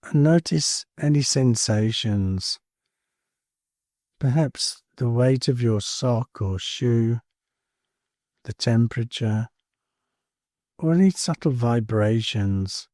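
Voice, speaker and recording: A man leading a guided meditation in a calm, soothing manner, leaving space between short phrases. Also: mid-range voice, takes it slowly, pauses often, no echo, studio quality. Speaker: meditation teacher. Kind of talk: guided body scan meditation.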